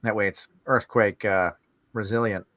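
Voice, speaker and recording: A man hosting a podcast interview, speaking casually and haltingly as he feels his way through his questions. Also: lowish voice, steady tone, a bit bright, no echo, clean studio sound. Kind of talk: casual, hesitant interview chat.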